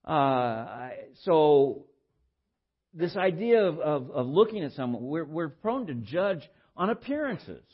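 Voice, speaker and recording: An older man with a baritone voice preaching informally, halting and repeating words as he shares personal stories in a conversational way. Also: big pitch swings, husky, muffled recording. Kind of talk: informal sermon with personal anecdotes.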